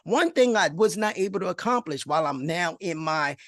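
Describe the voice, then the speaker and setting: A man's voice: sits high, lively intonation, brisk, fairly bright tone, dry recording, studio quality. A middle-aged man with a New York accent presenting a motivational online webinar, speaking emphatically, repeating phrases and checking in with his listeners. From New York, United States. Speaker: host of an online investing masterclass. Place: online video call.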